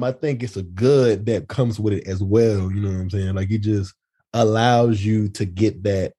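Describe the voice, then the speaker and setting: A man's voice: lowish voice, warm tone, slightly rough. A man hosting a podcast in casual, slangy chat, playful and teasing with his co-hosts. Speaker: podcast host and creator.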